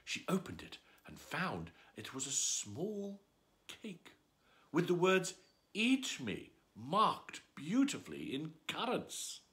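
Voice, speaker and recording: A man's voice: on the high side, wildly swooping pitch, slow, a bit bright. A man reading a children's story aloud as a bedtime storyteller, with a theatrical, swooping delivery.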